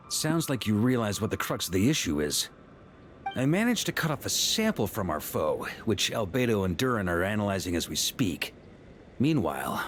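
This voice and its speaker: A lively, confident knight leader. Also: male voice, lowish voice, a little hiss or hum. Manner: composed and strategic, with lively, dry confidence. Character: confident, seasoned male knight leader of an expedition. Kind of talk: video game voice acting.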